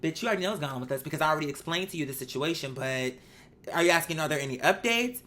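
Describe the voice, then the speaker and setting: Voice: mid-range voice, lively intonation, rapid-fire, fairly bright tone. A host telling a story in a casual monologue, with a sassy, candid, profane and emphatic manner. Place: bedroom. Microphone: microphone.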